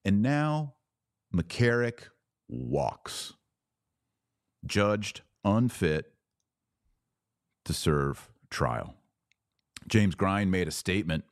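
A man's voice: deep voice, big pitch swings, extremely slow, long pauses, no echo, studio quality. A man hosting a podcast, giving opinionated news commentary in an indignant, emphatic way with short, punchy repeated phrases. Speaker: podcast host.

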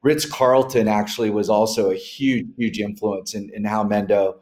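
Low-voiced middle-aged American man. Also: fairly even intonation, normal speed, a little mellow. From Northern California, United States. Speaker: interview guest, restaurant founder. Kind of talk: conversational interview answers, storytelling.

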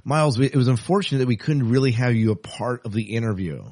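A man's voice: mid-range voice, fast, a little mellow. Man hosting a casual podcast. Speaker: podcast host.

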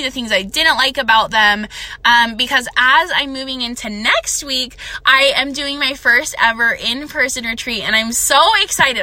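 Young woman host, solo phone podcast, very bright, dry close sound, nasal from a cold, rambly and excited. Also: a little high, no echo. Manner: chatty, excitable, rambling with fillers. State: stuffed up with a cold. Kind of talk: casual solo podcast monologue.

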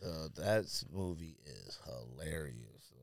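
A man's voice: extremely low pitch, expressive, slow pace, vocal fry, dry recording. An American man co-hosting a sneaker podcast in casual banter, slangy, opinionated and emphatic. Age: adult, parent of a young child.

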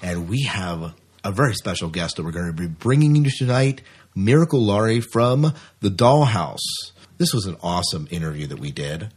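This is a man with a lowish, husky voice, co-hosting a podcast. He chats casually and talkatively through entertainment news, often repeating a phrase to drive it home. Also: expressive, moderate pace, darkish tone. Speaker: podcast co-host.

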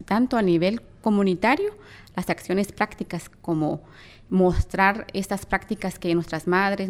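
A woman's voice: fairly low pitch, a little mellow. A young woman with a Guatemalan accent, speaking earnestly as an activist guest in an interview. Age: young adult.

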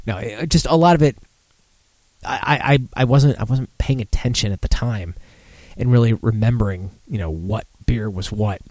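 A man's voice: fairly low pitch, normal speed, a little mellow, no room sound. A mildly tipsy man hosting a casual live podcast, rambling and swearing in a self-deprecating way. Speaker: podcast host. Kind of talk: casual live beer-news chat.

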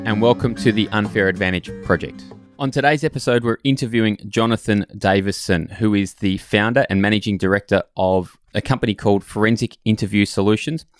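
A man co-hosts a podcast and reads out the episode introduction. His delivery is measured and scripted. His voice is smooth and resonant, pitched on the low side, and recorded dry and close. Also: no echo. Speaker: podcast co-host.

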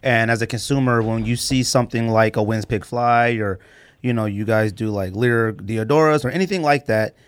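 A man hosts a casual podcast in a mid-pitched voice. He talks with effusive enthusiasm, and the sound is close and very dry. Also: normal speed, clear, resonant tone, no echo. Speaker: podcast host.

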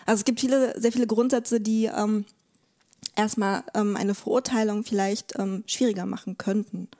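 A woman speaks as an expert guest in a conversational interview. Her voice is mellow and relaxed at a medium pitch, and she explains step by step, pausing to search for the right word. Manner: hesitant, searching for words, explanatory.

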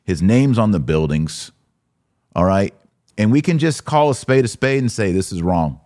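A man's voice: lowish voice, animated, moderate pace, darkish tone. A man hosting a podcast, giving opinionated religious commentary in an emphatic, exhorting manner with rhetorical questions. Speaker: podcast host.

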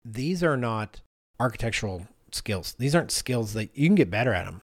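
A man's voice: mid-range voice, very animated, normal speed, no echo, clean studio sound. A man hosting a conversational podcast, talking informally and thinking aloud as he goes. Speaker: podcast host.

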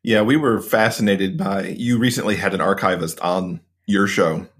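A man's voice: low voice, normal speed, husky. A man appearing as a guest on a podcast, talking eagerly in a casual interview. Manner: eager, excitable. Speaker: podcast guest, co-host of another show. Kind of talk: conversational interview.

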